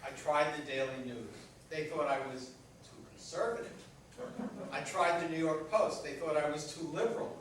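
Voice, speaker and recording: A man's voice: fairly high pitch, far from the mic, close to an everyday voice. A man playing a defensive, self-important aging superhero who is out of work, in a staged comedy play reading. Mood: plaintive. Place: echoey room at a live staged reading. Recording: very echoey hall from afar, faint noise.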